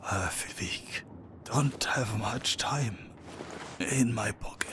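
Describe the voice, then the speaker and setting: A weak, dying informant in a wartime video game. He speaks slowly in a strained, halting whisper, low in pitch, with loud noise all around. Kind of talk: video game cutscene acting.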